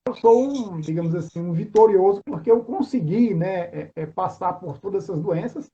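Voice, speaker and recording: A man in his forties with a Northeastern Brazilian accent introduces himself in casual conversation. His voice is high and soft-edged, peppered with tag questions. The sound is dull, like an online call. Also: animated, normal speed. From João Pessoa, Paraíba, Brazil. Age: 40s. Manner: informal, frequent tag questions. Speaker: interview guest, mentor. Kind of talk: casual chat, self-introduction.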